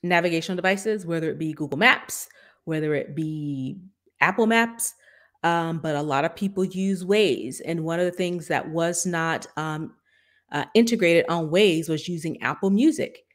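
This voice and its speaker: A woman's voice: on the low side, moderate pace. A woman co-hosting a tech podcast, explaining news conversationally with frequent ums and uhs. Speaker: podcast co-host. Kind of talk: casual tech news chat.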